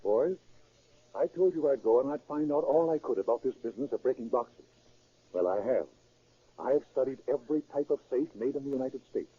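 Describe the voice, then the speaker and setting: An actor playing an earnest, disillusioned preacher turning to crime in a 1930s radio drama, speaking deliberately and precisely. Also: male voice, medium pitch, lively intonation, breathy edge. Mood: confident. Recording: muffled, scratchy 1930s radio recording.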